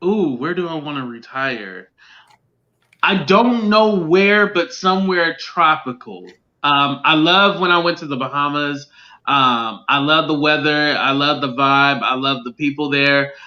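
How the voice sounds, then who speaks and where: A man's voice: a little high, measured pace, pushed and bright. A man speaking as a guest on a casual live chat over a call, easygoing and agreeable, often laughing along. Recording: dull lo-fi call audio.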